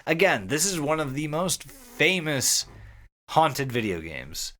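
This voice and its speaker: A man's voice: a little high, very animated, slow pace, pushed and bright. A man co-hosting a podcast with casual banter and recaps, joking and swearing freely. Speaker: podcast co-host.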